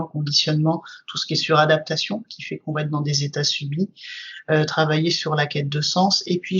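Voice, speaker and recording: A woman's voice: deep voice, slightly rough, dull audio. A woman, a guest trainer, explaining her subject at length in casual conversation, with frequent fillers.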